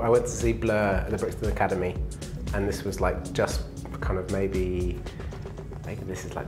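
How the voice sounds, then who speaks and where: A man in his thirties from England, answering interview questions in a relaxed, easygoing way with plenty of fillers, on a lapel mic in an indoor lounge. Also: lowish voice. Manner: relaxed, laughs easily, many fillers. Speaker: interview guest, engineering manager.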